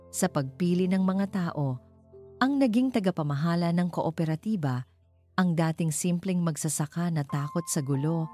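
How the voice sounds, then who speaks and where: A woman narrating a scripted inspirational love story in a measured, dramatic storytelling manner. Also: lowish voice, dark tone. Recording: music under the voice. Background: background music.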